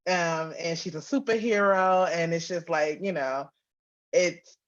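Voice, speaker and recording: A woman's voice: on the low side, measured pace, dull audio. A woman giving casual, candid interview answers as a guest author, chatty and emphatic. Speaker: guest, author and audio drama writer-producer.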